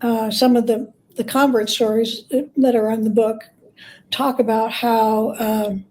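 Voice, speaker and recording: A woman with a very mellow, slightly high voice speaks as an interview guest. She is a biographer who explains and tells anecdotes in a conversational style. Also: level tone, unhurried, poor audio.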